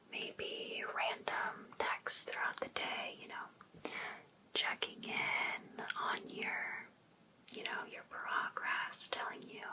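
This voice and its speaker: A woman playing an overbearing, obsessive female life coach in an ASMR roleplay, softly asking attentive questions with sweet encouragement. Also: lightly acted, rough recording. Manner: soft, unhurried, attentive questioning. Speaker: ASMR performer.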